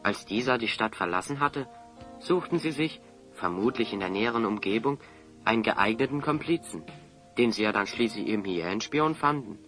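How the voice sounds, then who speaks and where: A man's voice: mid-range voice, sharp tone. A man acting a clever, confident hero in an audio drama, articulate and assertive as he reasons things out. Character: clever, confident male hero explaining a scheme.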